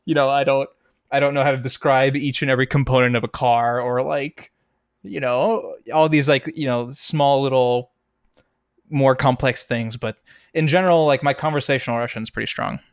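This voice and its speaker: A man's voice: medium pitch, steady tone, normal speed, no echo. Chatty young man, dry close mic. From United States. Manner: chatty, laughs often, many fillers and restarts. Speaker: guest, game composer. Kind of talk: casual interview chat.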